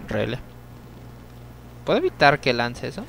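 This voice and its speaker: Young guy narrating his shooter game, chatty, yells and swears, pitch all over the place. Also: big pitch swings, measured pace, vocal fry, slight background noise, rough recording. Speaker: let's play gamer. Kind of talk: casual gameplay commentary.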